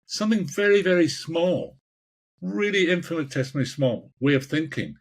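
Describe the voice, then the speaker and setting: Husky older Scottish man, passionate. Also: fairly high pitch, expressive, moderate pace. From Scotland. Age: 60s. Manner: passionate, emphatic, repeats phrases for emphasis. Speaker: executive coach, podcast guest. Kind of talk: conversational interview answers.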